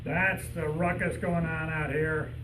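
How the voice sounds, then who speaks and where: A man's voice: fairly high pitch, flat delivery, measured pace, lightly acted. A man playing a baffled, impatient supervisor in an old-time radio comedy style. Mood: officious. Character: baffled, impatient male animal-control supervisor. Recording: echoey, noisy room, distant mic.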